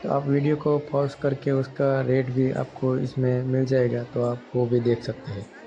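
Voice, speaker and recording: Male vlogger, mid-pitched and flat, hesitant casual narration on lo-fi audio. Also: measured pace, some chatter behind. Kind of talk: casual shopping vlog narration. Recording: dull lo-fi audio with background noise.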